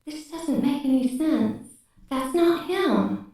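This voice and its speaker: Woman as an echoing, high-voiced ghost. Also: lively intonation, slow, warm tone. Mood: mournful, urgent. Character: frightened, mournful female ghost. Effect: heavy ghostly echo. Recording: very echoey, slightly distant.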